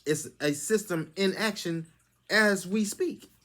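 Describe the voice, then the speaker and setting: A man's voice: sits high, slow pace, a bit bright. A man hosting his own channel, giving a lecturing monologue that is full of rhetorical questions. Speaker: channel host. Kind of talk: preachy monologue commentary.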